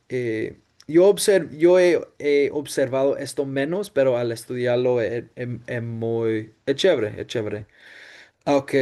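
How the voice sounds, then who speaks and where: Slow, hesitant young man presenting. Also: mid-range voice, warm tone. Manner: hesitant, many fillers and restarts; mixes English "so" and "ok" into his talk. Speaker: student presenter. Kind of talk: class presentation.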